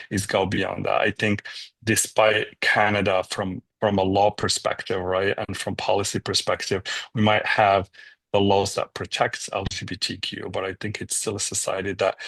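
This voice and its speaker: A man from Morocco, a nonprofit founder, answering interview questions in a reflective way, often pausing on "um" and checking in with "right?". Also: fairly low pitch, fairly bright tone, dry recording.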